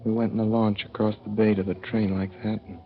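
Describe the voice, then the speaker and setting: A man's voice: lowish voice, level tone, fairly quick, soft background music, natural voice. Man playing a young racetrack hand, folksy, wistful, dull vintage radio recording. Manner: folksy slangy storytelling, wistful. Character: young male racetrack swipe, earnest and lovesick. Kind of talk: 1930s radio drama narration.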